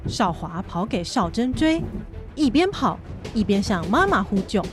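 A woman's voice: mid-range voice, very animated, unhurried, over music. A woman narrating a scripted children's story as the narrator of a radio drama.

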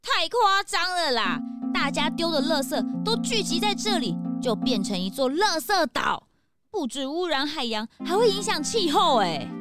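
An indignant little sidekick in a children's audio mystery. The voice is extremely high and swoops dramatically, exclaiming over background children's music. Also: female voice, very animated, moderate pace. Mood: shocked. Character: excitable, indignant young cartoonish sidekick. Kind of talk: children's detective radio drama acting.

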